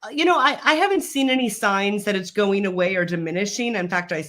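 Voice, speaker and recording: A woman's voice: mid-range voice, fairly bright tone. Minnesotan woman explaining on a video call. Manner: confident, explanatory, quick laughs. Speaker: guest expert. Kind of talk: casual video call chat. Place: office room, indoors. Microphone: video call webcam.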